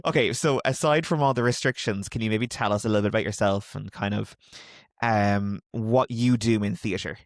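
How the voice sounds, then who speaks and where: A man hosting an interview, asking friendly, gently hesitant questions and teasing his guest. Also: fairly low pitch, moderate pace, no echo, clean studio sound. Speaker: interviewer host.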